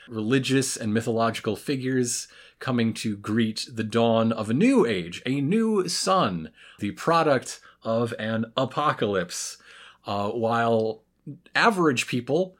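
Male podcast host, mid-range voice, conversational, wry and a little hesitant as he rambles along. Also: medium pitch. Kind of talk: casual chat.

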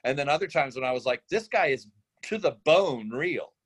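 A man's voice: medium pitch, animated, normal speed, slightly rough. A man, an actor in a casual workshop discussion, talking bluntly and acting out example lines. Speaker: actor from a script reading giving feedback.

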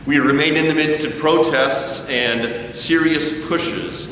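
A man delivers an earnest, reflective sermon, heard from some distance. Sound echoes strongly around the church, and a low rumble of noise sits under the muffled recording. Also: slow pace. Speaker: pastor.

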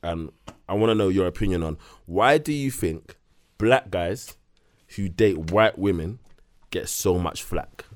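A man's voice: deep voice, expressive, a little slow, no room sound. A man co-hosting a podcast in casual banter with friends, speaking, teasing and laughing often. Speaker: podcast co-host.

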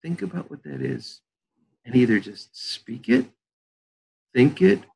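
An older man speaks as a therapist, gently guiding a visualization in an unhurried, soothing way. His voice is husky and rough with fry, and its pitch moves a great deal. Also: huge pitch swings, poor audio. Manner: slow soothing guided-meditation delivery. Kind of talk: guided visualization then conversation.

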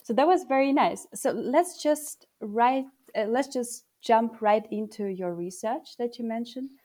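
Mellow-voiced woman interviewer. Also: a little high, a little slow. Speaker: interviewer, host. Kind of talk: podcast interview.

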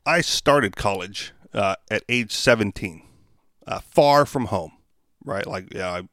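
A man's voice: on the low side, lively intonation, a bit bright, no echo, clean studio sound. A man from Hawaii in his thirties, hosting a podcast, reading a news article and then musing casually, full of uhs and restarts. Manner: reads haltingly with many uhs, then rambles with fillers. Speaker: podcast host.

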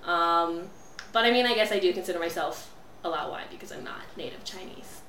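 A woman's voice: on the low side, fairly quick, fairly bright tone. A woman answering interview questions in a rambling way, full of "like". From United States. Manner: rambling, lots of "like". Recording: distant mic in echoey room with ambient noise.

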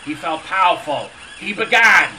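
A man's voice: high voice, very animated, normal speed, bright, forceful tone, distant mic, a little hiss or hum, heavily acted, poor audio. A man playing a histrionic, easily flustered actor in an audio comedy, with a theatrical, grandiose delivery. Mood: grandiose, brooding. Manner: theatrical, grandiose, prone to exasperated outbursts. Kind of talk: audio comedy sketch, dramatic narration.

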